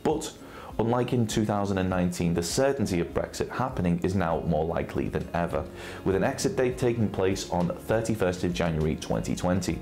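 A British man with a very low-pitched voice presents a scripted legal explainer. He speaks in a measured, formal way with careful articulation. Music plays behind him. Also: animated, darkish tone. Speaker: presenter for a personal injury claims firm. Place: indoor studio set with brick wall and armchair. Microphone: lapel mic.

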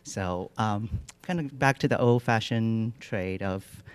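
A middle-aged man from Taiwan, giving a relaxed lecture with many fillers and dry humor into a handheld microphone in a lecture hall. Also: fairly low pitch, slow, clean tone. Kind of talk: lecture presentation. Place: lecture hall with projection screen.

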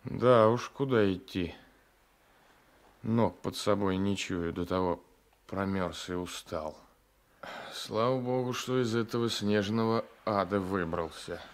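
Неторопливого усталого жандарма, низкий голос. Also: male voice, fairly low pitch. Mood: relieved, tired. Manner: measured, halting pauses. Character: weary, frozen gendarme seeking shelter, courteous, self-assured. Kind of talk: 1980s Soviet radio drama acting. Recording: noisy old radio drama recording.

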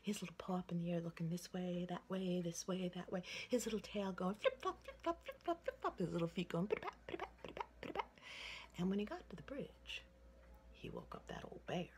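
Older female storyteller, 60s, low-pitched and highly animated, playful delivery for children, recorded at home with background noise. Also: fairly quick. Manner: expressive, playful, with repeated sing-song phrases. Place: indoors, room with wooden ceiling. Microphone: phone at arm's length. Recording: noisy home recording with room ambience.

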